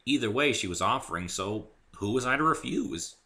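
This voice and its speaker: A man giving an expressive first-person dramatic reading of scripted fiction as its narrator. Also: mid-range voice, moderate pace. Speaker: author reading own story.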